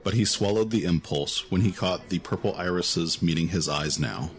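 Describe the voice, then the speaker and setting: Deep-voiced male story narrator. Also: moderate pace. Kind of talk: scripted fantasy story narration.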